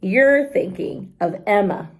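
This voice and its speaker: A woman's voice: medium pitch, expressive, slow pace. A woman voicing a crafty, cheerful poor farmer in a children's storybook, with a casual, sly manner. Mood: resigned.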